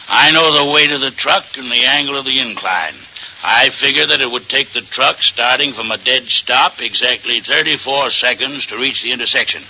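Creaky, bright, smug crook photographer. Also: male voice, medium pitch. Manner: pompous, flippant, breezy. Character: fat, smug, glib crook photographer, always eating. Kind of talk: old radio crime drama acting. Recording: noisy old radio drama recording.

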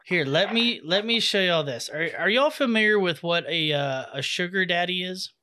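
A man's voice: sits high, expressive, normal speed, bright, forceful tone. A man hosting a podcast, joking and swearing in casual chat with guests. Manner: profane, joking, laughs often. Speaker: podcast host.

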